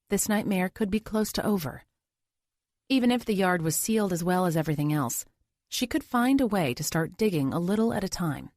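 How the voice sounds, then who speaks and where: Animated woman narrator, very dry sound. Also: on the low side, no echo. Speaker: audiobook narrator. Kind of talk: scripted thriller narration.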